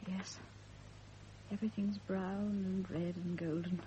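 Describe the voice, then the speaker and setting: An actress in a radio drama playing a devoted young wife, grief-stricken, emotional and pleading. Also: female voice, mid-range voice, little pitch movement, slow pace, dark tone, lightly acted. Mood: anguished. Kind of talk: classic radio drama acting. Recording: dull, faintly noisy old radio recording.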